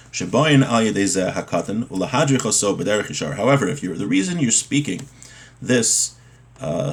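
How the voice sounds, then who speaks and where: A man with a fairly low voice teaches in an informal, explanatory way. He works through a religious text phrase by phrase, restating each point in simpler words as a teacher would. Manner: explanatory, repeats and rephrases points. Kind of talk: informal text lesson, reading Hebrew and explaining it in English.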